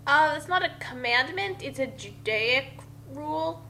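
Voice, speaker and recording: A woman's voice: sits high, expressive, a little slow, bright, forceful tone, slight background noise. A woman giving casual commentary, explaining and arguing her points.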